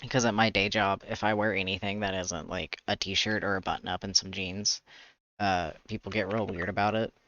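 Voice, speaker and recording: A man with a slightly raspy voice chatting casually on a livestream, rambling and pausing to search for words. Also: deep voice, steady tone, a bit bright, dry recording, dull audio. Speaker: streamer, webcomic artist. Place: indoors, livestream.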